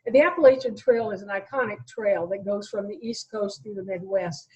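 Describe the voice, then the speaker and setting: A woman's voice: medium pitch, fairly quick, dark tone, poor audio. An American woman in her sixties talking conversationally as an interview guest, telling her own story. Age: 60s.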